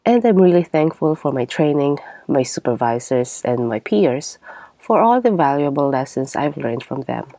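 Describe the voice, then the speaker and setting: Casting: woman, thirties, podcast host with a low register, close dry sound, articulate and reflective scripted delivery. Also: sing-song, moderate pace, dark tone, dry recording. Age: 30s.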